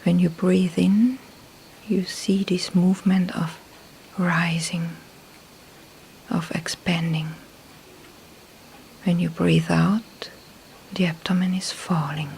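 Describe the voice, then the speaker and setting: A woman teaches meditation in a soft, breathy voice with a gravelly creak. Her delivery is very slow and calm, broken into short phrases with long pauses. Faint background noise sits under the recording. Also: on the low side, poor audio. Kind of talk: slow guided meditation instruction.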